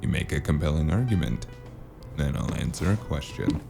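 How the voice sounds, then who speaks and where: A man narrating a noir audio drama as the lead, dry and wry, with a deadpan manner, over a music score. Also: rumbling low, normal speed, vocal fry. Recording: music score playing underneath.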